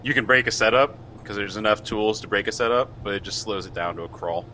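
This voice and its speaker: A man's voice: fairly low pitch, fast talker, pushed and bright, slight background noise. A man in a casual online voice chat, bluntly grumbling about a game. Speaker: game industry worker in discussion. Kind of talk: casual group chat over voice call.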